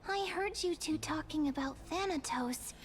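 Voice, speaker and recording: A woman's voice: high-pitched, moderate pace, a little hiss or hum, natural voice. A woman voicing a small, earnest, caring fairy-like creature in a video game, with a childlike, eager manner. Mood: concerned, helpful. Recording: game music under the voice.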